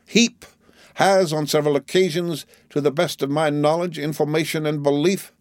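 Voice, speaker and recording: Pompous middle-aged gentleman played by a male narrator, raised pitch, grandiloquent, savouring every formal phrase, classic novel audiobook. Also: fairly even intonation, normal speed, no echo, studio quality, close to an everyday voice. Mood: declamatory relish. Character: pompous, florid middle-aged man triumphantly reading out an accusation.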